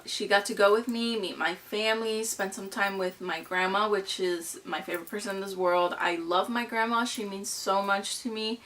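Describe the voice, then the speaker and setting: A woman's voice: mid-range voice, pushed and bright. A woman in her twenties from Mexico, chatting casually and gushing in a vlog, full of "like" and "you know". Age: 20s. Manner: bubbly and gushing, lots of fillers like "you know" and "like". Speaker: vlogger.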